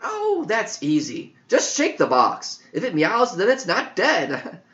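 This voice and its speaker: A man's voice: sits high, huge pitch swings, slow, a bit bright, pitched above the performer's own voice, full character voice. A man voicing a naive, curious boy student in an audiobook story, hesitant and full of questions and exclamations. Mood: bright, confident. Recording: dull lo-fi audio.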